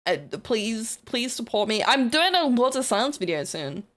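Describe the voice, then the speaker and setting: A woman's voice: a little high, sing-song, a little slow, fairly bright tone. A woman with a New Zealand accent, chatting casually and rambling on a live stream while she builds models, self-deprecating and swearing now and then.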